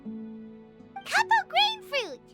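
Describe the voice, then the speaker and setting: A woman voicing a tiny, excitable, childlike fairy companion in a video game. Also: very high voice, extremely slow, heavily acted. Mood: eager. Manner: speaks of self in third person, excitable. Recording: music behind the voice.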